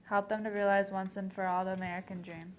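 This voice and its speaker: A woman's voice: medium pitch, level tone, fast, a little hiss or hum, close to an everyday voice. A young woman performing a scripted readers theater piece, reading aloud with occasional stumbles. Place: college library event. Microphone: worn microphone.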